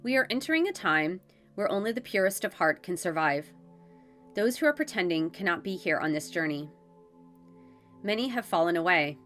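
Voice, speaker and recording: Solemn angelic guidance, read aloud by a woman with a stuffy head cold. The voice sits low and stays measured, as though read from a page. Faint music plays behind. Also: fairly bright tone.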